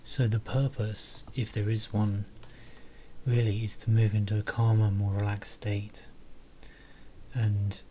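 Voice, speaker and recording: A man softly leading a guided meditation, calm and unhurried, with long pauses between phrases. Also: lowish voice, slight background noise.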